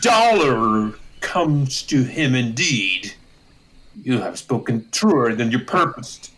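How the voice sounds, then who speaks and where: A man's voice: mid-range voice, big pitch swings, slow, a bit bright, low-quality recording. A man playing a kindly, optimistic, long-winded old courtier in a Shakespearean audio drama, wordy and earnest. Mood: patient, halting.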